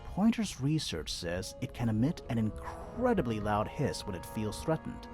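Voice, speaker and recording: A man's voice: lowish voice, huge pitch swings, normal speed. An actor playing an eager, theatrical detective in a video game, explaining his reasoning expressively over music. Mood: explaining, intrigued. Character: eager male detective, theatrical, reasoning aloud through clues. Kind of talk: video game voice acting, dialogue. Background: background music.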